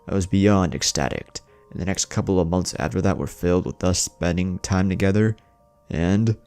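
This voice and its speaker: A man with a very deep voice delivers dramatic horror narration in the role of a haunted, lovestruck youth. His pitch moves expressively as he broods and halts for effect. Soft music plays behind him. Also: vocal fry. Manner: brooding, halting, with dramatic pauses. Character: haunted, lovestruck teenage boy confessing to crimes. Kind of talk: dramatic first-person scripted narration.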